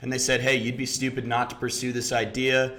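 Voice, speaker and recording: A man from Houston, a startup founder, telling his story in a relaxed interview, sprinkling in lots of "you know" and "um". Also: medium pitch, a little fast. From Houston, Texas.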